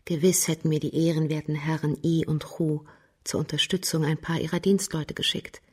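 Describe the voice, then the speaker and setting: Genteel widow for radio crime drama, reserved and formal, breathy-rough tone, very even pitch. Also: female voice, normal speed. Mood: solemn, deferential. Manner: formal, deferential, composed. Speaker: actress playing a widow.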